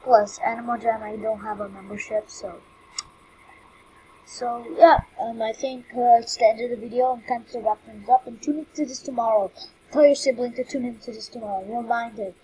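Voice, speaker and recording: A woman's voice: fairly high pitch, steady tone, normal speed, warm tone, breathy edge. A young woman starting her first channel, talking in a rambling, hesitant way with many fillers, recorded on a cheap microphone. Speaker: new YouTuber. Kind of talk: casual vlog intro. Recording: faint background noise, poor mic.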